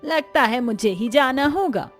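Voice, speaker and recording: A woman's voice: high-pitched, lively intonation, measured pace, soft background music. A woman voicing a fretful, affectionate middle-aged village mother-in-law in a Hindi cartoon story, theatrical and exclaiming. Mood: annoyed.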